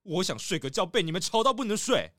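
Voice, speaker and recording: A man's voice: sits high, big pitch swings, quick pace, a bit bright, no room sound, clean studio sound. A man voicing a grumpy, sleepy grizzly bear who complains irritably in a children's audio drama. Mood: irritated.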